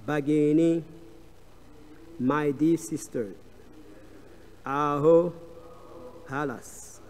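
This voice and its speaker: A man with a strong French accent giving a devotional scripture class, speaking in a halting way with frequent hesitations and restarts. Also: on the high side. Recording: long reverb of a large hall.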